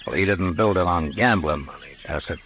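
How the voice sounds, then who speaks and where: A steady, world-weary Old West lawman with a very deep American voice. He is measured and authoritative in the style of a fifties radio western. The old recording carries faint noise. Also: muffled recording. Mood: probing, restrained. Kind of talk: 1950s radio western acting and narration.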